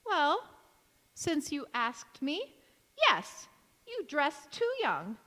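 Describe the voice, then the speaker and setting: A woman's voice: sits high, huge pitch swings, drawn-out words, pushed and bright, heavily acted. A woman playing a bitter, disapproving female cousin with a tart, cutting delivery in a stage radio play. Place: large hall, live stage performance. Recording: echoey hall with long reverb.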